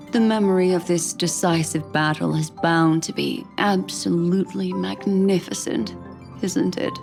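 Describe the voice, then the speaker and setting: A woman voicing a composed, enigmatic envoy in a game, speaking in a formal, measured way. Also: lowish voice, fairly even intonation, gravelly, over music. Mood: poised, pleased. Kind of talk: scripted game character dialogue.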